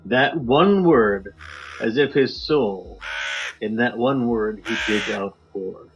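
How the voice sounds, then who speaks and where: A man co-hosting a podcast, giving a theatrical poetry reading that swings between loud highs and hushed drops. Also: slow. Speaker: podcast co-host. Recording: slightly muffled with faint music.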